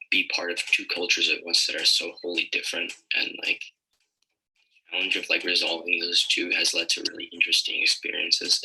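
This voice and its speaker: A man's voice: sits low, sharp tone. A young man giving a casual testimonial on a faintly noisy home video. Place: dim room at home.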